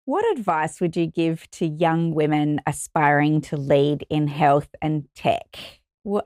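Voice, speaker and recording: Gravelly low-voiced woman host. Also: darkish tone, dry recording, studio quality. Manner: brief encouraging backchannels. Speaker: podcast host. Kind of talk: interview questions.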